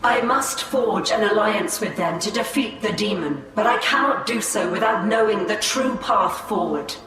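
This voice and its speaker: A solemn elf seer speaks with grave, formal resolve, as a woman plays her in a game cutscene. Her voice sits at a middle pitch and rings out through heavy echo as though from a distance, with faint noise underneath. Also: moderate pace, lightly acted. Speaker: game character. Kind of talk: video game cutscene acting.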